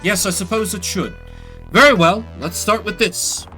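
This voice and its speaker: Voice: mid-range voice, moderate pace, pushed and bright, faint music behind, voice pushed up, close to an everyday voice, poor audio. A man voicing the formal, theatrical ghost of a bygone merchant who mentors in a video game. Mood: grand, instructive.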